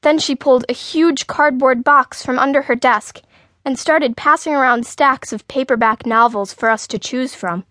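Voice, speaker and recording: A woman's voice: high voice, fairly bright tone, dry recording, clean studio sound. A woman reading a children's story in the first person, as an indignant, outspoken sixth-grade girl. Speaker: audiobook narrator.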